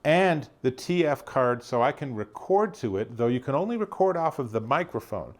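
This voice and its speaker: A man's voice: mid-range voice, very animated, normal speed. A man giving a casual, conversational product demonstration as an enthusiastic tech reviewer.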